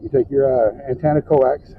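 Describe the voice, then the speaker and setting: A man's voice: medium pitch, steady tone, normal speed. A plain-spoken man with folksy phrasing gives an informal, step-by-step hands-on tutorial from inside a truck cab. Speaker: truck driver and trainer giving a tutorial. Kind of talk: informal hands-on how-to narration. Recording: tinny phone-like audio with background noise.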